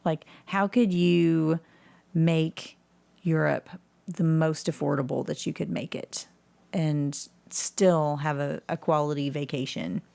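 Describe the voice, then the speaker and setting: Woman podcast guest chatting casually, low gravelly voice. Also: steady tone, takes it slowly, dark tone. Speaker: podcast guest, community theatre actress. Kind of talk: casual interview chat.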